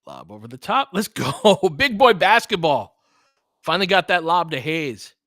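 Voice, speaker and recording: A man's voice: fairly high pitch, sing-song, normal speed, pushed and bright, no room sound, clean studio sound. A man giving informal basketball play-by-play and analysis, conversational and opinionated, with some mild swearing. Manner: conversational, opinionated, mildly profane. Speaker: sports commentator, host.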